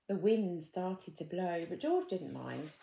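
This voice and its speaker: A woman's voice: fairly low pitch, lively intonation, slow, creaky, mellow, echoey room, slight background noise. A woman reading a children's storybook expressively, addressing young listeners like a teacher.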